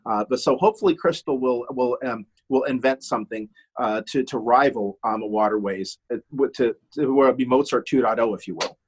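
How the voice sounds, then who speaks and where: A middle-aged man whose first language is German chats as a podcast guest. His voice is husky and a bit breathy, at a middle pitch, and he stops and restarts his sentences often. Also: a little fast, few pauses. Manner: hesitant, many restarts and fillers. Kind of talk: casual podcast chat.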